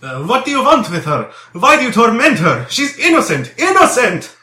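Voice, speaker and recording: An impassioned young man under arrest, acted out by a male narrator, pleads and exclaims in a bright, forceful voice. His pitch leaps around with frantic effusiveness, repeating words as he goes, in a roomy, echoing recording of a storybook. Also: animated, normal speed, distant mic. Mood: desperate, protesting. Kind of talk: dramatized audiobook character dialogue. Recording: roomy echo.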